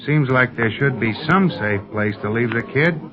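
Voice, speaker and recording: An actor playing a brash, folksy pilot sidekick who talks in homespun slang, in a 1930s radio serial. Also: male voice, medium pitch, animated, moderate pace, over music, natural voice. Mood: gruff. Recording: muffled vintage radio recording.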